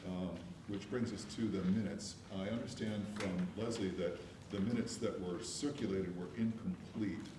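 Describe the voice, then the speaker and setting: A man chairing a board meeting, measured and hesitant, with many fillers, recorded from afar in an echoey room with noise. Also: deep voice, steady tone. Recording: echoey room, mic from far off, background noise.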